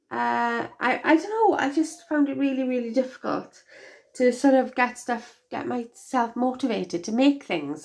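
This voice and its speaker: Woman crafter, hoarse and stuffed up from a cold, rambling and chatty, mid-pitched voice. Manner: chatty and rambling, many ums and restarts. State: croaky, congested. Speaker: craft vlogger. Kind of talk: casual craft show-and-tell.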